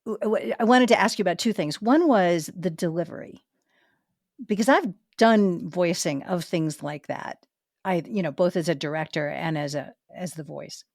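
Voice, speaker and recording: A woman hosts a podcast interview in a casual, enthusiastic and conversational way. Her voice sits fairly low for a woman. The recording is close and dry, with no room sound. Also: moderate pace, gravelly, a little mellow, no echo. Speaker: podcast host.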